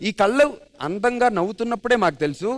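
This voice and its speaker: Fast, high-pitched male TV show host. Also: animated. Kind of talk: lively stage presenting and banter. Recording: music under the voice. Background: music.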